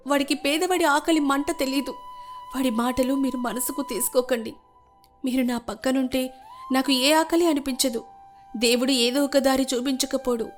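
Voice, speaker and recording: Woman acting a devoted village wife, very high-pitched. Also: natural voice. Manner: imploring, consoling. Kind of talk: melodramatic moral story voice acting.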